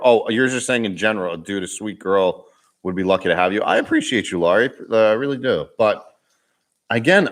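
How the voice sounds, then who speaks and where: Young man, Boston accent, lowish voice, chatty live-stream host, laughs easily, restarts sentences. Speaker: vlogger hosting a live Q&A. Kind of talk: casual live-stream chat reading comments.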